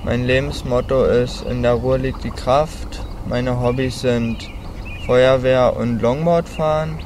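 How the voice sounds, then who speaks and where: A sixteen-year-old boy introduces himself very slowly and calmly, pausing often between his phrases. He is recorded outdoors with faint background noise. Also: mid-range voice. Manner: calm, halting, many pauses. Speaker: student. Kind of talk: self-introduction to camera. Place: outdoors on a meadow.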